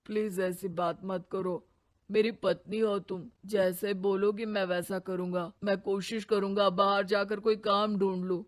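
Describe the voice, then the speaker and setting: A woman's voice: medium pitch, level tone, a little slow, close to an everyday voice. A woman voicing a meek, devoted young husband in a cartoon story, earnest and pleading.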